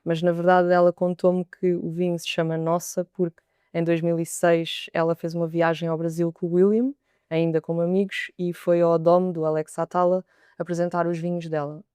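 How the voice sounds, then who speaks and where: Soft-spoken Portuguese sommelier woman. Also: fairly low pitch, little pitch movement, moderate pace, smooth, dry recording, clean studio sound. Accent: European Portuguese. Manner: thoughtful, repeats words as she gathers her thoughts. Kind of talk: podcast interview answers.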